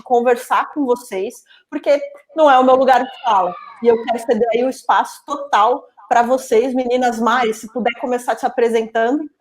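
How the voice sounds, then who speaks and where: Woman host running an online panel, slightly high voice, loose rambly phrasing. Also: darkish tone. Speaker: webinar host. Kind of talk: hosting a webinar discussion. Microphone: video call.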